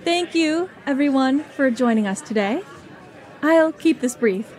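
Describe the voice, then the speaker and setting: Woman as a high-voiced, gleefully cruel alien impostor. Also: expressive, unhurried, a little mellow, some chatter behind. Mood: sweetly officious. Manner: sweetly officious, taunting. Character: murderous disguised alien posing as conference presenter, gleefully cruel. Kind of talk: audio drama acting.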